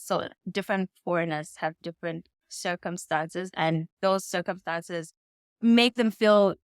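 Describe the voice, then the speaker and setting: A woman's voice: medium pitch, smooth, no echo, clean studio sound. A woman in her thirties with a Zambian accent, giving casual, reflective interview answers in carefully chosen words. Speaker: interview guest. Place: indoors in a home.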